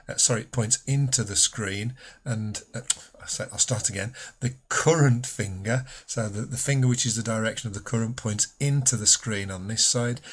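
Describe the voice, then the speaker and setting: A man's voice: mid-range voice, breathy edge. A man speaking as a physics teacher, explaining a school lesson patiently and informally, step by step.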